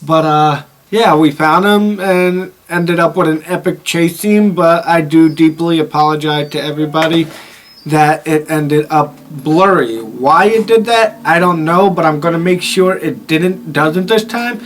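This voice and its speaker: A man's voice: fairly high pitch, a little slow, a little hiss or hum. A man hosting a horror game video with informal, rambling commentary, swearing freely. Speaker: gaming video host.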